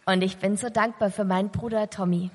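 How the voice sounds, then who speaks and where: A woman's voice: medium pitch, fairly even intonation, a little fast, a little mellow, slightly rough. A woman works as a live interpreter, relaying a sermon phrase by phrase. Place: large reverberant hall, beside the preacher. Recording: long hall reverb.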